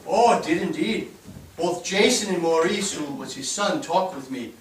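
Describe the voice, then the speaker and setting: A man's voice: high voice, lively intonation, normal speed, slight background noise. An actor in a staged reading playing an earnest, wistful immigrant who marvels as he recalls his past. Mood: earnest, amazed. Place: theatre stage, recorded from a distance. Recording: echoey stage, distant mic.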